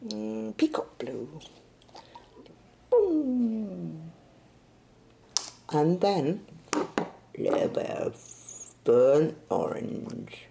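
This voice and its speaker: A woman in a spontaneous-sounding solo monologue, thinking aloud and murmuring to herself in short fragments. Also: medium pitch. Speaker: performance artist in an audio playlet. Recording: clearly noisy recording with clicks.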